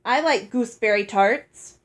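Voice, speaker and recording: A woman's voice: on the high side, measured pace, natural voice. A woman voicing a dull, gluttonous girl in a storybook, blunt and hesitant in manner. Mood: eager, dull. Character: blank, gluttonous, slow-witted girl, tentative but stubborn.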